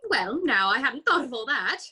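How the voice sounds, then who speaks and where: Woman as a prim, bright-voiced talking flower. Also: on the high side, animated, normal speed, breathy edge, full character voice. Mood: self-satisfied. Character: prim, self-satisfied talking garden flower, female. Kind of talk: children's readers' theater read-aloud.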